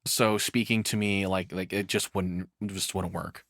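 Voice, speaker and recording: A man's voice: deep voice, level tone, normal speed, a bit bright, dry recording, clean studio sound. A man giving casual, off-the-cuff reaction commentary as a streamer, rambling and swearing as he talks.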